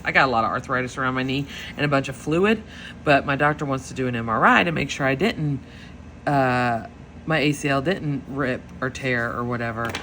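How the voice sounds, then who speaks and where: Lady in her 40s chatting while she plans, bright voice, lotta ums, faint hiss behind. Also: a bass voice. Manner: rambling storyteller, lots of fillers and laughs. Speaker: planner vlogger. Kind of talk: casual chat while planning.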